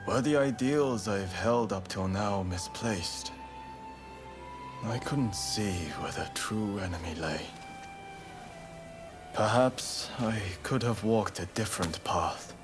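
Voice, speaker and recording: An actor playing a fervent young samurai rebel haunted by grief, earnest and intense, in a video game cutscene. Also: male voice, on the low side. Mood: remorseful, reflective.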